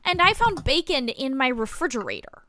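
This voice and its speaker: A woman playing an earnest, patient small-town reporter in an audio drama, explaining things in a measured, reflective way. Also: high-pitched, sing-song, noisy background. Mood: reflective, confiding. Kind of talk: audio drama dialogue, naturalistic.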